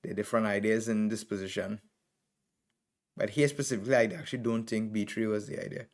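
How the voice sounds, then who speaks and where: Chess master with a Trinidadian accent, a man with a lowish voice, thinking aloud through moves in short bursts. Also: fairly even intonation, fast talker. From Trinidad and Tobago. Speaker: chess master streaming game reviews. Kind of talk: live commentary on chess games.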